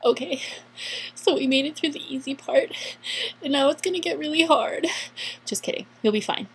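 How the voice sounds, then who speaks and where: A woman's voice: sits high, lively intonation, moderate pace. A young adult woman giving casual, upbeat advice in a chatty vlog style, stretching words for emphasis. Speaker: vlogger giving interview advice.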